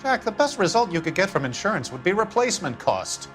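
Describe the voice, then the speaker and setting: An actor playing a smug, middle-aged, corrupt insurance vice president in a video game, haughty, wordy and condescending. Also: male voice, high voice, big pitch swings, fast, fairly bright tone, soft background music. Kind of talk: dramatic video game acting.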